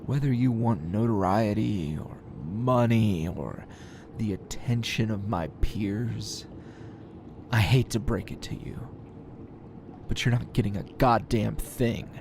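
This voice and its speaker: A man's voice: on the low side, slow, gravelly, noisy background. A man playing a defiant, sardonic captured hero and teacher in an ASMR roleplay, taunting his kidnapper in a drawl broken by sighs and chuckles. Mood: mocking, defiant.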